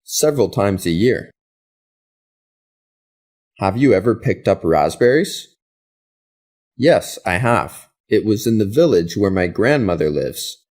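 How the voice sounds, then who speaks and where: A man reading practice questions and answers for an English lesson in a slow, deliberate way. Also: on the low side, animated, darkish tone.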